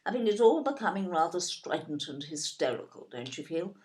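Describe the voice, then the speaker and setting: A genteel, snobbish older southern English mother in an audio drama. Her voice is fairly low but very animated, swooping theatrically as she gushes and condescends. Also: brisk. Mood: genteel, airy. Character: snobbish, gushing, genteel middle-class English mother-in-law type. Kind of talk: audio play acting.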